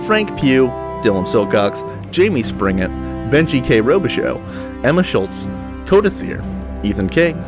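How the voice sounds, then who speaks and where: A man reading scripted credits as the announcer of an audio drama. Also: medium pitch. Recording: music behind the voice. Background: music.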